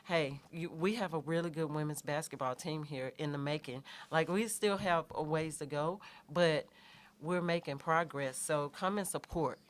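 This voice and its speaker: Soft-voiced woman coach, low pitch. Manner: halting, many fillers and restarts. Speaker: head basketball coach. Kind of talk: postgame press conference answers.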